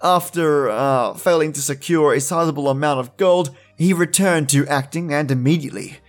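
A man's voice: fairly high pitch, moderate pace, soft background music. A man hosting a podcast, talking to his listeners in conversational commentary. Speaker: podcast host.

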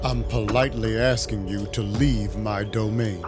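A man acting a dry, deadpan vampire detective. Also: lowish voice, a little slow, over music, natural voice. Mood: calm menace. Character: weary, wry male vampire detective narrating his night. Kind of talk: first-person noir scripted narration.

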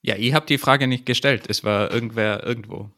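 Wry Austrian podcast co-host. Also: male voice, medium pitch, a little fast, bright, forceful tone. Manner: wry, self-deprecating. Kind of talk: casual podcast chat.

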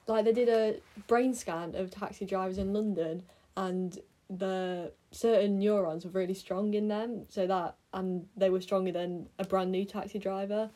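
A young woman chats with friends in a relaxed way. Her voice sits in a middling range. She slips dry, teasing one-liners into the conversation. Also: mid-range voice, normal speed. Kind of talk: casual chat among friends.

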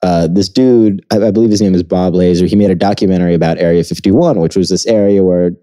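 A man's voice: low-pitched, fast, warm tone. A young man hosting a podcast, explaining events matter-of-factly and asking his guest questions. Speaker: podcast host. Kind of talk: casual chat.

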